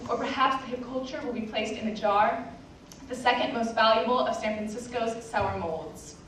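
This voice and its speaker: Teen female slam poet, high-pitched, theatrical and wry, captured in a very reverberant room. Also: moderate pace, fairly bright tone. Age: 14, teenager. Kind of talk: slam poetry recital before an audience. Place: indoor live poetry slam, roomy space. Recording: very echoey room, distant mic, background noise.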